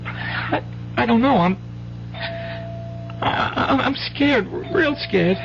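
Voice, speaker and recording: A man's voice: extremely high pitch, lively intonation, takes it slowly, gravelly, full character voice. A worried teenage son who stumbles over his words and carries some resentment. It is seventies radio drama, with muffled broadcast sound and prominent music behind. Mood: scared. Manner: hesitant, stammering. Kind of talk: 1970s radio drama acting. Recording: dull vintage radio broadcast, loud music.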